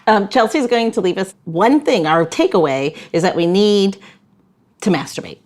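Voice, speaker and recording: A woman's voice: mid-range voice, lively intonation, normal speed, darkish tone. A woman co-hosting a podcast, chatting casually, teasing and laughing often. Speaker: podcast co-host. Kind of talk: casual chat and read outro.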